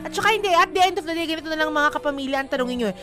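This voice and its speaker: A woman's voice: high-pitched, rapid-fire. A woman with a Filipino accent co-hosting a radio show, giving casual, emphatic relationship advice in mixed Tagalog and English and often seeking agreement. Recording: music bed behind the voice. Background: background music.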